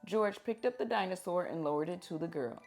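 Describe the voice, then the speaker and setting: A woman reading picture books aloud to children in an expressive, deliberate teacher's manner, prompting them with questions. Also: fairly low pitch, vocal fry, faint music behind.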